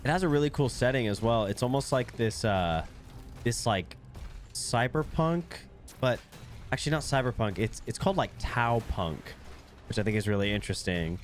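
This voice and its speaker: A man's voice: medium pitch, expressive, normal speed, slight background noise. A young man with a congested, nasal voice, casually commentating while he plays a video game at his desk, rambling with lots of fillers. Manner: laid-back, rambling with fillers. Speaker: gaming video creator.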